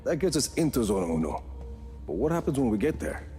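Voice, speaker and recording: A man's voice: mid-range voice, big pitch swings, fast talker, warm tone, faint music behind, close to an everyday voice. An actor playing a tough, laconic male action hero in a video game cutscene. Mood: eager, practical.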